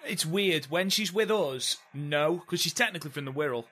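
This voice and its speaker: A young man with a fairly high, bright voice, chatting casually on a podcast. He teases his co-hosts and slips into silly jokes. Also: animated, moderate pace. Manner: teasing, jokey. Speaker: podcast co-host. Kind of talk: casual watchalong chat.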